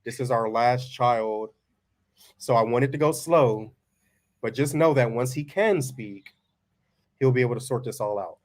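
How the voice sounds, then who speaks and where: A man with a monotone voice chatting casually to viewers as host of a family farm vlog, laid-back and folksy. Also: mid-range voice, measured pace, pauses often.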